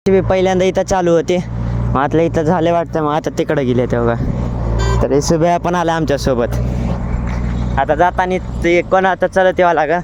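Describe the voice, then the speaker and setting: A young man with a rural Marathwada accent, chatting casually as he vlogs his day. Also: normal speed. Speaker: vlogger. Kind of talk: casual vlog chat. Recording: noisy outdoor vlog, traffic rumble.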